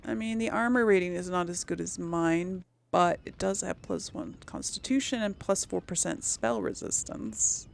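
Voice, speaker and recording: A woman's voice: fairly low pitch, normal speed, gravelly, few pauses, a little hiss or hum. A woman giving casual game commentary as a let's play host, musing aloud to herself.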